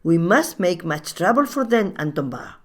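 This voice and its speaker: Woman playing village woman, low-voiced, commanding, blunt, scornful, feuding, radio comedy. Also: sing-song, normal speed. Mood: conspiratorial, hostile. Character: fierce, bossy village woman spoiling for a feud. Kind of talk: comic radio play acting.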